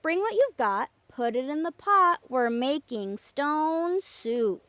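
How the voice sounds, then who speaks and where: A woman's voice: high voice, lively intonation, takes it slowly, a bit bright, no echo, heavily acted. A woman voicing a hungry, boastful male traveler in a children's storybook, calling out in a gleeful sing-song way. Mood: excited chanting. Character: two hungry male travelers, cheerful boastful master cooks.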